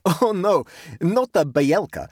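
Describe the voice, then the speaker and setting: An exuberant Russian lad of nineteen, acted out by a male storybook reader, pitched high above a grown man. He boasts eagerly of his hunting and feels his way through English words. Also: big pitch swings, husky, dry recording, studio quality, full character voice. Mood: amused, boastful. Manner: radiant, eager, halting over English words. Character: high-spirited nineteen-year-old Russian youth, boastful hunter.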